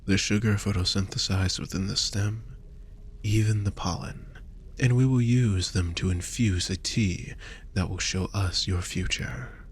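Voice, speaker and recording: Man playing a slow, low-voiced possessive vampire. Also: slight background noise. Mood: suave, flattering. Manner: slow, theatrical, formal, breathy murmurs. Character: suave possessive male vampire fortune teller, obsessive yet tender. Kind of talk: intimate scripted audio roleplay addressed to the listener.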